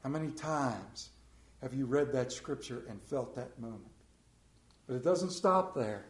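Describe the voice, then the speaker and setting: A man's voice: mid-range voice, expressive, measured pace. A middle-aged man with an Appalachian accent, a television pastor preaching a sermon in a folksy, storytelling way full of rhetorical questions. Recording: roomy echo.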